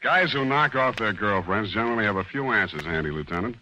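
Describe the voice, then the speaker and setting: An actor playing a hardboiled private detective who talks in a dry, wisecracking way, in 1940s-style radio acting. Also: male voice, on the low side, animated, quick pace, pushed and bright, low-quality recording. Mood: wry.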